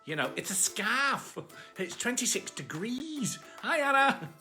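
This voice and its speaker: A woman's voice: fairly high pitch, very animated, unhurried, sharp tone, soft background music, voice pushed up, exaggerated voice. A woman voicing a small, cheerful, chatty armadillo who hosts a children's bedtime story livestream. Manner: chatty, exclaiming greetings, giggly.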